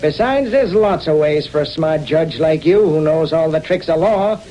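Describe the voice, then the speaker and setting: High-voiced menacing crooked lawyer played by an actor. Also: male voice, normal speed, mellow, close to an everyday voice. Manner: commanding, threatening. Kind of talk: 1930s-40s radio drama acting. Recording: muffled old radio broadcast.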